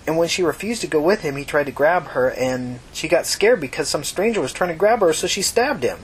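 A woman's voice: sits low, expressive, a little fast, slightly rough, pitched above the performer's own voice, full character voice, low-quality recording. A woman voicing a desperate, stammering teenage runaway girl in an emotional audiobook story. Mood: puzzled. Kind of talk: audiobook character dialogue.

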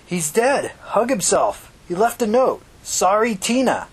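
A man's voice: sing-song, measured pace, breathy edge, exaggerated voice. A man voicing a panicked male thug, out of breath and urgent, in an audiobook story. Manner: urgent, panting, exclaiming. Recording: noisy recording with low rumble.

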